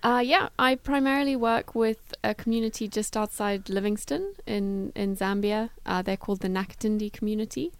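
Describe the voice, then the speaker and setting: A woman from Zimbabwe, a guest in a studio interview who talks in an even, unhurried way about her work. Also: mid-range voice, smooth, no echo. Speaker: guest, travel philanthropy worker.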